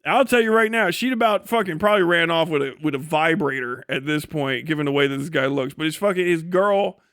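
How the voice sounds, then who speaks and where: A man with a fairly high voice, recorded close and dry. He talks like a livestreamer riffing off the cuff, swearing freely and mocking as he goes, often prone to laughing through his words. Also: brisk, a bit bright, clean tone, no echo. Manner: crude, profane, mocking storytelling; laughs often while talking. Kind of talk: casual unscripted livestream commentary.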